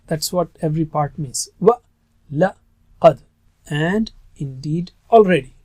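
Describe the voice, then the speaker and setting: A man's voice: high voice, dry recording. A man whose first language is Urdu, teaching a recorded lesson on Quranic Arabic in an earnest, emphatic way that stresses key words.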